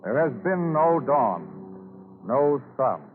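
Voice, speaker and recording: A man's voice: mid-range voice, big pitch swings, takes it slowly, slight background noise. A man narrating a radio drama from a script in dramatic 1940s style. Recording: narrow old radio broadcast with music.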